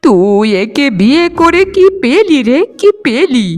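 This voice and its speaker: A woman's voice: high voice, big pitch swings, a little slow, soft background music. A woman voicing a greedy, bitter mother-in-law in a melodramatic cartoon, scolding with sarcasm and accusation. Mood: bitter, sarcastic.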